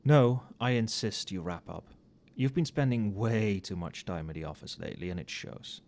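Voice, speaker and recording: Male, acting as a friendly, conscientious professor a few drinks in; lowish soft voice, muttering, very varied intonation, for late-night ASMR roleplay. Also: animated, moderate pace. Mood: caring, insistent. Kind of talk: casual ASMR roleplay, addressing an unheard colleague.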